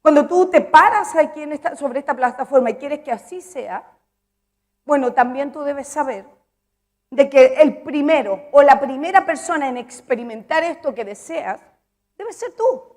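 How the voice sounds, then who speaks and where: Woman preacher with a high voice, emphatic and repetitive, asks the congregation questions. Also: expressive, normal speed, a little mellow. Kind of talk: sermon.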